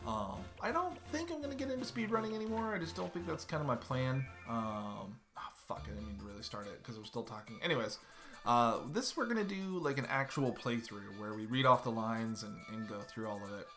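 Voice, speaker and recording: A man's voice: medium pitch, animated, fairly quick, a bit bright, faint music behind. A man in his thirties streaming a game from home, chatting loosely and swearing casually as he plays. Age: 30s. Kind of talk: casual let's-play commentary. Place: home room.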